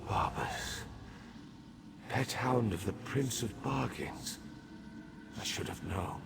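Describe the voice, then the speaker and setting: Fantasy game god: drained, solemn, very slow and labored gravel, prominent score behind. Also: male voice, mid-range voice, over music. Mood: weary, grave. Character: weakened male living god, solemn and weary. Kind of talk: video game dramatic acting.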